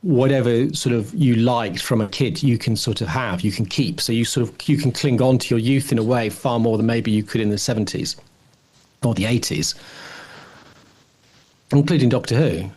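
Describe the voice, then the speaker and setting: A man's voice: mid-range voice, moderate pace, a little mellow. Middle-aged man, casual co-host chat, rambling, musing, hedging as he goes. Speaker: podcast co-host.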